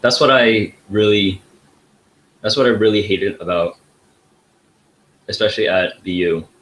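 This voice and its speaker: A man's voice: on the low side, measured pace, fairly bright tone, slight background noise, poor audio. A young man talking as a podcast guest in a casual, laid-back way, saying "like" a lot. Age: young adult. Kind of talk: casual chat.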